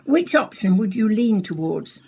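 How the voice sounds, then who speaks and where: An inquisitive presenter of a science programme in a radio play. Her mid-pitched voice is a little husky, and she speaks briskly with a composed, probing broadcast manner. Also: big pitch swings, dark tone, exaggerated voice.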